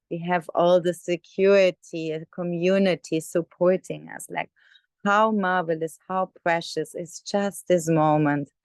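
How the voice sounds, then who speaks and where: Slow, smooth woman guiding meditation. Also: lowish voice, no room sound. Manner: gentle, unhurried, with many pauses. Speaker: meditation teacher. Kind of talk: guided meditation. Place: online video call session.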